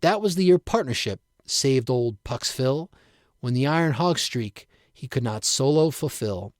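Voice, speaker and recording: A man's voice: mid-range voice, expressive, unhurried, no echo, clean studio sound. A man reading his own rhyming story aloud in a storybook narration style. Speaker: writer reading own piece.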